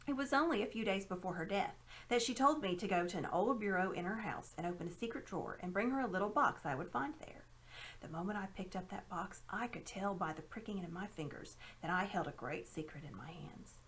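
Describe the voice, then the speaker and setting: A woman acts out a self-important old gentleman from a storybook. He talks down to his listener in grand, pompous phrases, with a very animated rise and fall in pitch. His temper is smug and scheming. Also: brisk, slight background noise, natural voice. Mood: evasive, self-satisfied. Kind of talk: storybook read-aloud character voice.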